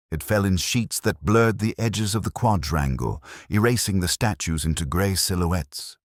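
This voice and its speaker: Brooding middle-aged detective, extremely low voice, expressive rise and fall, measured literary radio drama narration, wry, melancholic. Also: male voice, dry recording, studio quality. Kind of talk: scripted first-person radio drama narration.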